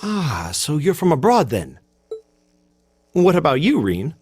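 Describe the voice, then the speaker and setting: A man's voice: on the high side, huge pitch swings, slow, darkish tone, slightly rough, no room sound, faint music behind, heavily acted. An American man voicing a stiff, proud, bookish teenage cadet in a video game, formal and hesitant. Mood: formal, curious. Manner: formal, hesitant, self-conscious.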